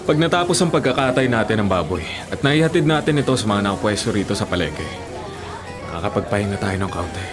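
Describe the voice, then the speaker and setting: A man's voice: fairly low pitch, expressive, fast talker, over music, natural voice. A man acting an earnest, lovestruck bachelor butcher in a Filipino radio drama, speaking colloquially. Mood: smitten, matey.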